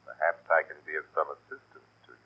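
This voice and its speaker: A man acting a sinister, commanding, mocking unseen avenger in an old radio drama, with a sharp, husky voice and deliberate, ominous delivery. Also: rumbling low, little pitch movement, exaggerated voice. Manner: deliberate, ominous, authoritative declarations. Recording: old scratchy radio recording.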